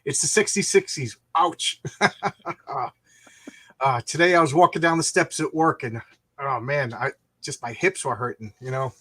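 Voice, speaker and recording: A man's voice: mid-range voice, moderate pace, bright, forceful tone, slightly rough. A man in his fifties hosting a casual live chat, rambling through anecdotes in a jokey, self-deprecating way. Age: 50s. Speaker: live stream host chatting about comics.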